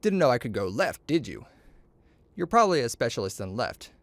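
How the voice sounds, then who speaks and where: A man reading a children's story aloud, acting out the dialogue in an expressive, playful, boastful way. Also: medium pitch, brisk, no room sound.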